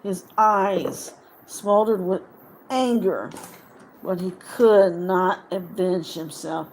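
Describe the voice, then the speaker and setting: A woman narrates a story in a slightly low voice, very slowly and deliberately. She breaks sentences into short dramatic phrases, with noticeable noise in the background. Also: darkish tone, poor audio. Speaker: audiobook reader. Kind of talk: dramatic scripted fiction narration.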